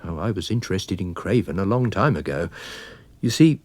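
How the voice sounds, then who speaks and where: A man voicing an affable, observant wine salesman and amateur sleuth, polite and gently pointed, in a dramatised story reading. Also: low voice, expressive, moderate pace. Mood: pleased, reflective.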